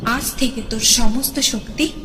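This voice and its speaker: A woman's voice: on the high side, fairly even intonation, a little slow, background noise, close to an everyday voice. A woman playing a wrathful, commanding goddess of the cremation ground in an audio horror drama. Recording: echoing studio voice under loud music.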